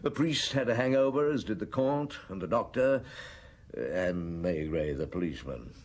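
An actor playing a shrewd, world-weary police chief inspector in British radio drama, measured and probing, with a British accent. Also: male voice, low-pitched, expressive. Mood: weary, reflective. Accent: British English.

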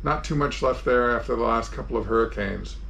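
A man's voice: medium pitch, brisk, vocal fry, fairly bright tone. Man in his sixties, noisy recording. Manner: plain-spoken, step-by-step explaining. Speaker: sailing vlogger and host. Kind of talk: informal narrated travel tour and how-to.

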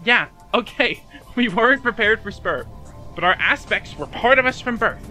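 Man acting a high, bright, anguished young guy. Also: animated, normal speed, full character voice, low-quality recording. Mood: frustrated. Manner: emotional, rambling, self-deprecating. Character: anguished, self-doubting young man confiding in a friend. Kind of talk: fan audio drama acting. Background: music.

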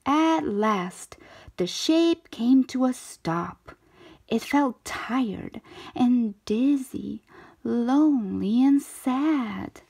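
Woman, storybook narrator, unhurried, very animated pitch, expressive, dramatic phrasing for children. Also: vocal fry, slightly rough. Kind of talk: expressive scripted read-aloud narration.

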